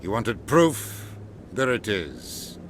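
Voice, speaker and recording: An actor playing a blunt, impatient human ambassador in a video game, with a sardonic manner. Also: male voice, on the low side, sing-song, extremely slow, noisy background, exaggerated voice. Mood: sceptical, curt. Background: music.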